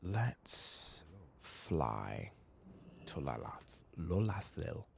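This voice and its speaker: Very deep, gravelly soft-spoken ASMR man. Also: very animated, extremely slow, a little hiss or hum. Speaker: ASMR gaming host. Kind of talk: soft-spoken ASMR game playthrough with whispering.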